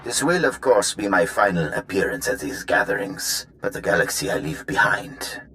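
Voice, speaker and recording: An actor playing a cold, disdainful conspirator with measured delivery in a video game. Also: male voice, lowish voice, very animated, bright, forceful tone, slight background noise. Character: cold, haughty male conspirator, dry and impatient.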